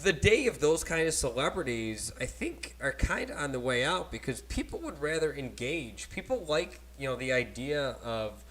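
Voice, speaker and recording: Animated man hosting a chatty podcast. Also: medium pitch, normal speed, few pauses. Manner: animated, laughs often, rambling asides. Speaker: podcast host. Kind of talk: casual podcast chat. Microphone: cheap desk microphone at a laptop.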